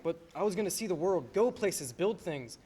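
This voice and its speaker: A man's voice: high voice, expressive, moderate pace, warm tone, husky. A young man playing an earnest, frustrated young husband in a radio play, often hesitant and stammering. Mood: dejected. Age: young adult. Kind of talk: filmed radio play acting. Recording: faint background noise.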